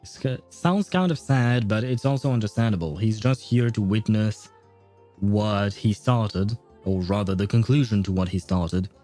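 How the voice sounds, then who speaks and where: A man livestreaming a video game, chatting casually with viewers in a rambling, halting way. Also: fairly low pitch, dark tone, soft background music. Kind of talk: casual livestream chat and commentary.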